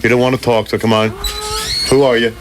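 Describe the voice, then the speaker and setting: A man's voice: medium pitch, little pitch movement, fairly quick. Upbeat joking radio host man. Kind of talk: lively on-air chat with kids. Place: small radio studio.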